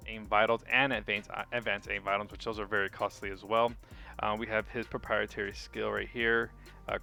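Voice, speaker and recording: Guy talking thru a game overview, kinda low but bright voice, casual n halting, soft music behind. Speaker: gaming video host. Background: faint music.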